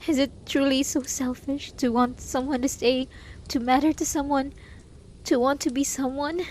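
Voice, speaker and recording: A woman's voice: high voice, steady tone, moderate pace, darkish tone, a little hiss or hum, close to an everyday voice. A woman playing a lonely, obsessive hermit in an intimate roleplay monologue, pleading desperately with sighs and whispers. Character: lonely, obsessive, lovesick hermit begging a traveler to stay.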